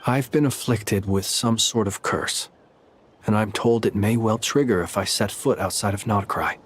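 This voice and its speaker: An actor voicing a calm, teasing male lighthouse keeper in a game, speaking with dry humor and an unhurried manner. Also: lowish voice, slightly rough. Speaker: game character.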